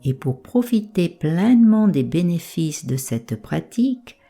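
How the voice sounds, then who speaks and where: Low, mellow, slow-speaking woman guiding meditation. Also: slightly rough. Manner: calm, soothing, measured instructions with pauses. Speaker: meditation guide. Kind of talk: slow guided yoga nidra relaxation.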